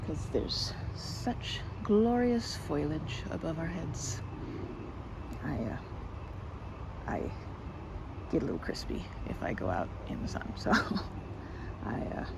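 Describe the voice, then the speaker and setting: A woman's voice: on the low side, gravelly, noisy background, heavily acted. A woman playing a timid, sweet, rambling young woman in an ASMR roleplay, speaking to the listener with shy, hesitant stammers. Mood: bashful, chatty.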